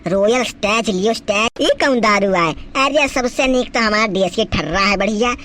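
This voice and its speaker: A man's voice: high voice, moderate pace, pushed and bright, a little hiss or hum. A man voicing a boisterous, scheming village drunkard in a comedy cartoon, talking in blustery slang with a rural Uttar Pradesh accent. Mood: eager scheming. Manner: colloquial, haggling, blustery. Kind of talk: amateur comedy cartoon dialogue.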